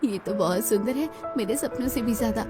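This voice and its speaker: A sweet, eager young bride in a cartoon family story, voiced by a woman. Her voice is very high, with music clearly playing underneath. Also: brisk, lightly acted. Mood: delighted. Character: eager young city-bred daughter-in-law, sweet newlywed.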